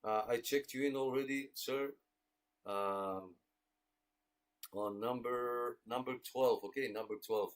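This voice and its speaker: Man, medium pitch, casual net host, friendly greetings, roll-call delivery. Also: steady tone, slow pace, long pauses. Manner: friendly, thanking and greeting listeners. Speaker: host of a radio club check-in net. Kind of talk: casual livestream roll call of check-ins.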